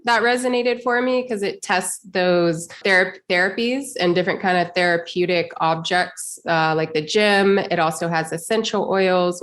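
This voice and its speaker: A woman's voice: on the low side, fairly bright tone. A woman hosting an interview, sounding nasal and stuffy from a recent cold, chatting casually. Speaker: podcast host.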